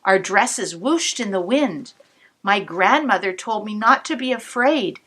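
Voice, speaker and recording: A woman cantor reading a picture book aloud to children, expressive, pausing to point out the pictures. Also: medium pitch, slightly rough. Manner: warm, expressive storytelling with asides to the listener.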